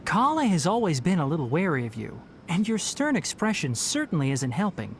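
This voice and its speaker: A man voicing a gentle but frank young forest ranger in an anime game, articulate, instructive and mildly chiding. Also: a little high, wildly swooping pitch, a little fast, a little hiss or hum. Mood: gently chiding.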